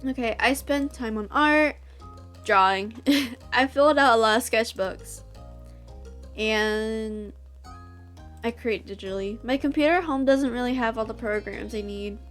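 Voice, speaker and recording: A woman's voice: fairly high pitch, a little slow, a bit bright, soft background music. A young woman with a stuffy nose chatting and giggling casually as she plays through a visual novel for her channel. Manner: chatty, giggly, self-interrupting. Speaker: YouTuber reading a visual novel. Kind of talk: casual game playthrough commentary.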